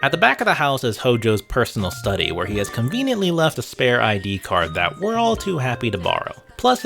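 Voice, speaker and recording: A man's voice: on the low side, fairly bright tone. A man narrating a scripted video essay about games in a wry, conversational way, with asides to the viewer. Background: music.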